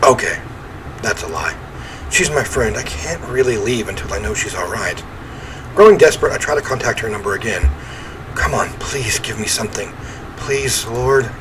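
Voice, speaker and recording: A man reading a first-person horror game story aloud in an expressive, theatrical way. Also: on the low side, moderate pace. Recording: clear ambient noise behind voice.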